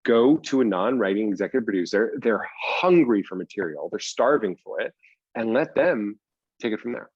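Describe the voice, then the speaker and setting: A man's voice: lowish voice, lively intonation, normal speed, breathy edge, no echo, lo-fi sound. A man speaking casually as an interview guest, explaining things in loose runs with repeats for emphasis. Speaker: writer and producer, interview guest.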